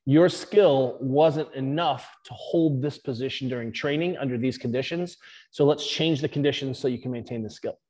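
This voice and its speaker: A male strength and conditioning coach explains his thinking in an emphatic, animated way. His voice sits at an ordinary middle pitch. A long reverb hangs behind each phrase, as if he were in a large echoing room. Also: moderate pace. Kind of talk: explaining in an interview.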